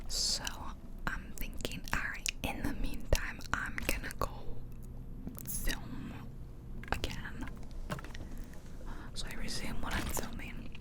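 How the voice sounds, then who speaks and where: Slow, whispering woman in ASMR style. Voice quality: soft, breathy whisper. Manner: chatty, confiding, unhurried. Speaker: ASMR video creator. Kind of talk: whispered ASMR casual storytelling. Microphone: close ear-to-ear ASMR microphone.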